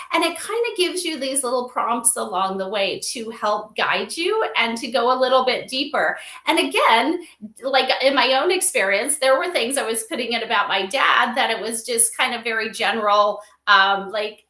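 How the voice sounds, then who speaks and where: A woman explaining things casually as a guest in a livestream interview, animated and given to acting out example dialogue. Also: on the high side. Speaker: interview guest, company advisor on AI memorial companions. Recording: roomy, echoing sound.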